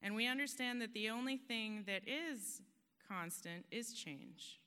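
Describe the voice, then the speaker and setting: A woman's voice: on the high side, measured pace, pushed and bright, clean tone. A woman giving an earnest, emphatic motivational talk on a conference stage, now and then laughing at herself. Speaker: conference speaker. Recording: long hall reverb.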